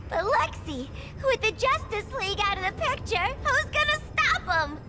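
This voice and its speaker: A woman playing a perky clown villainess in a video game, with a squeaky, sing-song delivery and reverb around her. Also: very animated, moderate pace, over loud music. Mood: puzzled. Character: bubbly clown villain's girlfriend, adoring and perky. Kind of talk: animated video game acting.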